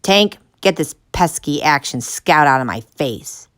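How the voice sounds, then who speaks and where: A woman voicing a gruff, bossy male guard who barks out orders. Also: deep voice, expressive, unhurried, a bit bright. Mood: barking. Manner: barking and dismissive.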